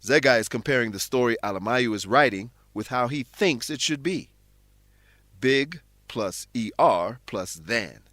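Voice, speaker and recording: A man's voice: mid-range voice, animated, a bit bright, no room sound. Dry-sounding man teaching English. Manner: deliberate, explanatory. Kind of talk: scripted English lesson narration.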